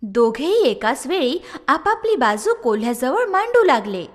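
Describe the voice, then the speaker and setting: A woman narrates a children's story in a high voice with lively swings. A long reverb hangs on her words. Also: normal speed.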